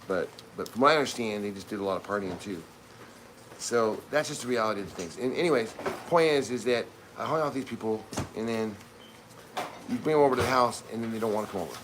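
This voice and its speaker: A man's voice: fairly low pitch, quick pace. A man in his fifties talks loosely on a home livestream and keeps restarting his sentences. He is in a kitchen, with faint household noise behind him. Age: 50s. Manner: rambling, with many restarts and asides. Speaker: livestream co-host. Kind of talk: casual livestream chat.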